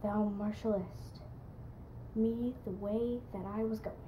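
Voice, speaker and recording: A woman acting a haunted, brooding would-be murderer in a hushed, deliberate Shakespearean soliloquy. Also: mid-range voice, steady tone, a little hiss or hum, pitched below the performer's own voice, lightly acted, poor audio. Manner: hushed, slow, breathy, deliberate.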